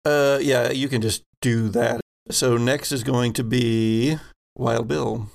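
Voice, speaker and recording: A man runs a tabletop sci-fi game in a mid-pitched, gravelly voice. He describes scenes slowly, sometimes halting to think aloud. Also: steady tone. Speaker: game master.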